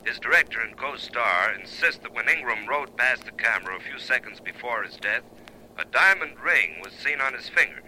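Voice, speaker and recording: An urgent, formal radio newsman in a vintage drama. His voice is piercingly bright and medium in pitch, with 1940s bulletin delivery. The old recording has a light layer of noise. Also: lively intonation, normal speed, rough recording.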